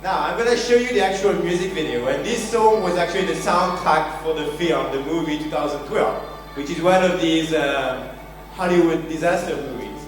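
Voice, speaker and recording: A man's voice: a little high, normal speed, music in the background. A man with a French accent giving a conference keynote, joking and self-deprecating as he talks to the audience. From France. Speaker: keynote speaker. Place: large conference venue. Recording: very echoey hall, distant mic, faint hum.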